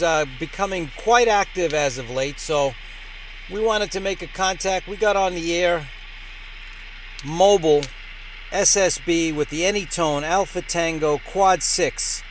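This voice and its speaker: Guy with a slightly high voice hosting a radio hobby vlog, emphatic delivery, some noise behind. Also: low-quality recording. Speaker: host of a ham radio channel. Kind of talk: upbeat vlog presenting.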